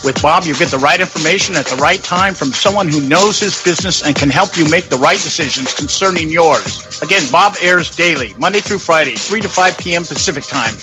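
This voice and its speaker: A man's voice: on the high side, brisk, hardly any pauses, low-quality recording. A man reading a friendly, folksy scripted radio promo. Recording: promo read over music bed.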